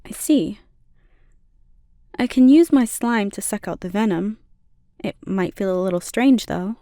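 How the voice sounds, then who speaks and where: A woman voicing a gentle, caring slime monster girl with playful teasing, in a soft, intimate ASMR roleplay delivery. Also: mid-range voice, measured pace, no room sound, studio quality, close to an everyday voice. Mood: soothing concern.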